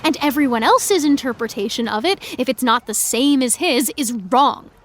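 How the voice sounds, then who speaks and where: A frustrated teenage fangirl with a high, youthful voice. She speaks earnestly and articulately, with indignant conviction, in the natural style of an audio drama. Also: expressive, normal speed. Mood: indignant, passionate. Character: frustrated, opinionated high school senior fangirl.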